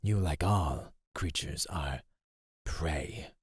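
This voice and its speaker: A man playing a teasing, condescending serpentine monster predator in a theatrical ASMR roleplay, speaking in a deliberate, menacing way. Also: a bass voice, slightly rough, dry recording, clean studio sound, heavily acted. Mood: ominous.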